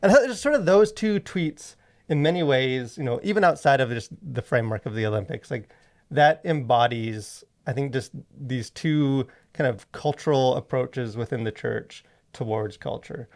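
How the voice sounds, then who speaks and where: Wry man with a creaky voice. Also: mid-range voice, moderate pace. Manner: wry, jokey, rambling asides. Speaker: podcast co-host. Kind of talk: casual conversational podcast commentary.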